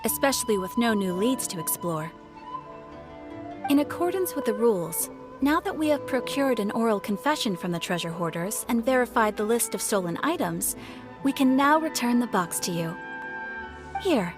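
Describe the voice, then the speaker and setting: An actress playing a polite, businesslike guild official in an anime video game, speaking formally and precisely. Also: female voice, fairly high pitch, big pitch swings, normal speed. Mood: pleased, official. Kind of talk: English-dubbed anime video game acting. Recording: clear game music behind the voice.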